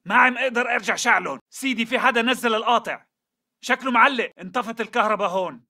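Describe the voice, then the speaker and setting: An actor playing an agitated militant foot soldier in a video game, with a Syrian Arabic accent. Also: male voice, very high voice, quick pace, bright, forceful tone, full character voice. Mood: tense. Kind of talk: video game battle dialogue.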